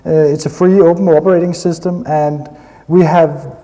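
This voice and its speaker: A man's voice: a little high, fairly even intonation, moderate pace, warm tone. A man from Denmark with a Danish accent, giving an enthusiastic technical conference talk with frequent hesitations. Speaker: conference speaker. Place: conference hall. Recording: long hall reverb.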